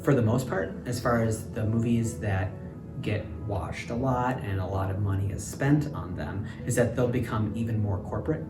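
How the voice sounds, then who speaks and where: A man's voice: fairly low pitch, normal speed. Thoughtful man in his thirties, distant mic. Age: 30s. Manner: hesitant, thoughtful, frequent ums. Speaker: interviewee. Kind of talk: reflective interview answers. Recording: roomy, distant mic, music underneath.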